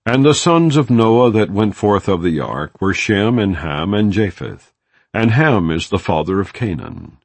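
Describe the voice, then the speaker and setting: Man, low gravelly voice, lively pitch, solemn measured scripture reading, dry close sound. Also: dry recording. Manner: measured, solemn phrasing with long pauses between names. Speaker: scripture reader. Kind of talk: unhurried audiobook reading of scripture.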